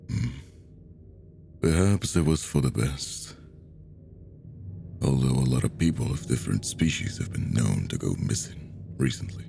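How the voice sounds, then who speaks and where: A man with a deep, gravelly voice narrating a scripted story epilogue. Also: noisy background.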